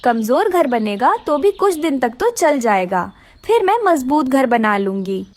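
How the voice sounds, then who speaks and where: A woman's voice: sits high, big pitch swings, measured pace, heavily acted. A woman voicing an earnest, plaintive young female bird in a children's cartoon. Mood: worried. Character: distressed, hardworking, polite young female bird. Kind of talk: Hindi children's cartoon character voice.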